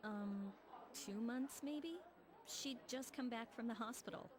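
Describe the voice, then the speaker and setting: Actress as a high-voiced, level-headed young woman. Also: a little slow, a crowd in the background, natural voice. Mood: matter-of-fact. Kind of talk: video game cutscene voice acting. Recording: music under the voice.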